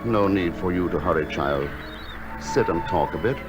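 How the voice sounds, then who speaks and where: A man acting as a lonely bird-keeping recluse with a low voice and a gentle, measured delivery. He speaks in literary radio-drama style, with music playing behind him. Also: close to an everyday voice. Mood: wistful, lonely. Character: lonely, gentle, misshapen male recluse who tends wild birds. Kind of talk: radio drama acting and first-person narration.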